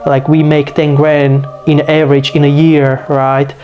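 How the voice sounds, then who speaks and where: A man's voice: a little high, little pitch movement, unhurried, lo-fi sound, soft background music. A man in his twenties from Slovakia, speaking English with a Slovak accent, a little under the weather, rambling casually as a channel host and filling his talk with "you know" and "like.". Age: 20s. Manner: loose and rambling, leaning on fillers like "you know" and "like". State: a bit sick. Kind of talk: casual vlog monologue.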